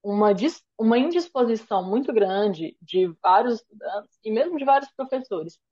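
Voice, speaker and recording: A woman's voice: a little high, lively intonation, moderate pace, dark tone, husky, muffled recording. A woman from Brazil giving an online lecture on language teaching. She explains step by step and keeps checking in with "né?". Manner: thoughtful, explanatory, frequent "né?" tags. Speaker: course lecturer.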